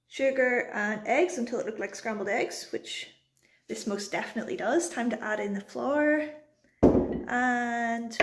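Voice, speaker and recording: A woman with a fairly high voice talks informally to her viewers. She sounds like a homestead vlogger giving a casual, chatty update.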